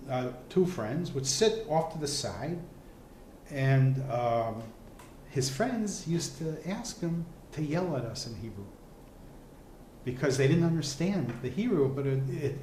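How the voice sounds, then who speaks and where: A man's voice: on the high side, slight background noise. A man recalling family stories in an interview, repeating words as he thinks. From United States. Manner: animated storyteller, repeats words while thinking. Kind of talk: storytelling interview. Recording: echoey room.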